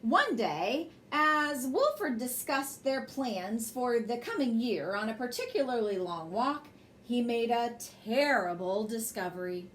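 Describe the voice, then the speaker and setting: Lady reading a kids bedtime book, takes it slow and expressive, bit of background noise. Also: a little high. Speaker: storyteller reading a children's picture book. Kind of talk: bedtime story read aloud.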